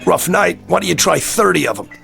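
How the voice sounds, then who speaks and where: A man's voice: high-pitched, lively intonation, brisk, creaky, fairly bright tone, faint music behind, exaggerated voice. A man playing a sarcastic, belligerent hard drinker in a drama, with brash, needling, slangy talk. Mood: boozy, chummy.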